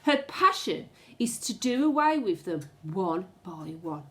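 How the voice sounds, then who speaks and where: A woman teacher reading a storybook aloud to children in a dramatic, suspenseful way, with asides to her pupils. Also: medium pitch. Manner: expressive, suspenseful, deliberate storytelling.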